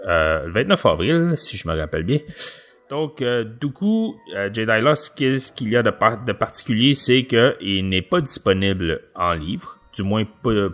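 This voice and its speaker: A man with a Quebec French accent, hosting a book review podcast with hesitant pauses. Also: lowish voice, fairly bright tone. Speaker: podcast host. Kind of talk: podcast book review, partly reading a synopsis aloud.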